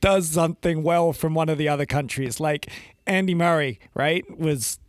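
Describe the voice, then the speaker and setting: A man's voice: a little high, normal speed, no echo, clean studio sound. A man from Britain co-hosting a podcast in casual chat, blunt and swearing freely. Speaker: podcast co-host.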